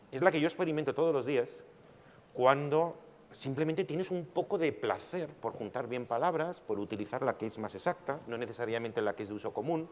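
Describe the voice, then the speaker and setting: Reflective man arguing on a panel. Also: mid-range voice. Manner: reflective, argumentative, with rhetorical questions. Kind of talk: panel discussion. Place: large hall at a public panel. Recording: hall reverb with faint noise.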